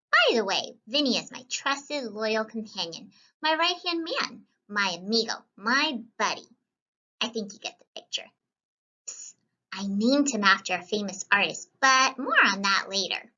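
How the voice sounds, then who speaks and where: A woman plays a young student painter telling a storybook adventure. The voice is fairly high and very lively, with a bright edge. The delivery is wry and full of playful asides, on a slightly lo-fi recording. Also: heavily acted. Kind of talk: scripted children's read-aloud.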